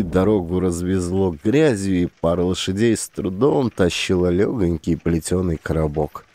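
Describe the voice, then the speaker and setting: Deep-voiced male audiobook narrator. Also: dark tone, slight background noise. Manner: measured storytelling. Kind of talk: scripted narration of a classic story.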